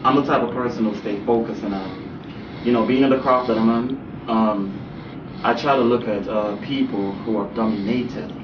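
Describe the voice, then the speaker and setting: A man's voice: medium pitch, normal speed. Young Jamaican man, laid-back talk. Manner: relaxed, peppered with "you know what I'm saying". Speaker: guest actor. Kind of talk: casual interview chat. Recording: distant roomy muffled recording, noisy.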